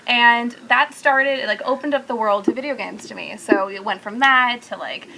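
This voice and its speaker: Female podcast guest, bright forceful tone, joking storyteller, casual, light noise behind her. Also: a little high, moderate pace, vocal fry, low-quality recording. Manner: playful, joking. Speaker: podcast guest, video game company marketing staffer. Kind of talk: casual group chat, telling anecdotes.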